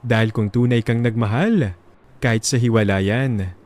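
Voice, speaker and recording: A man with a Filipino accent reading a scripted dramatic love story as narrator, in solemn, measured phrases. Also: mid-range voice, darkish tone.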